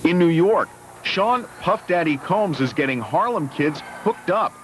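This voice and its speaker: A man's voice: high-pitched, animated, unhurried. A man reading a scripted television news report as a reporter's voice-over. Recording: slightly noisy old TV news tape.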